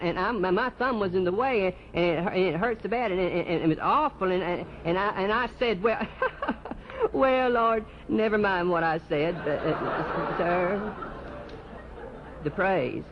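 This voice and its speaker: A man's voice: high-pitched, close to an everyday voice. A man playing a folksy, sheepish churchgoer in a one-sided comic phone monologue, stammering, repeating himself and eagerly agreeing. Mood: flustered. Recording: dull lo-fi live recording, loud noise.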